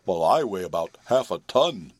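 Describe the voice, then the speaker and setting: A man's voice: lowish voice, big pitch swings, moderate pace, no room sound. A man voicing a weary, scornful old male horse in a storybook reading, grumbling as he talks.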